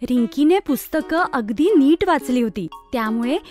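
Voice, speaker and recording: Lively, high-pitched woman storyteller. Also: normal speed, soft background music. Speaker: story narrator. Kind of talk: scripted children's story narration.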